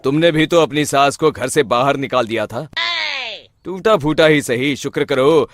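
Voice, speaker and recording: A man's voice: mid-range voice, normal speed, dry recording, studio quality, natural voice. A man voicing an exasperated, reproachful elderly husband in a family comedy cartoon, over background noise.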